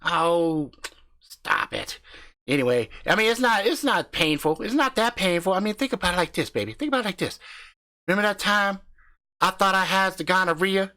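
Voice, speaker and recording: A man voicing a loud, crude, profane husband who hunts ghosts with his wife, swaggering and brash. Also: sits high, expressive, moderate pace, voice pushed up, full character voice. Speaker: comedic character.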